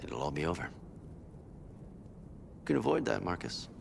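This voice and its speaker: A man playing a hard government agent in a video game, negotiating with measured, cold persuasion in a gravelly voice. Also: huge pitch swings, background noise, exaggerated voice. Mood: cold, threatening. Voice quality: gravelly, very deep. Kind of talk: cinematic video game acting.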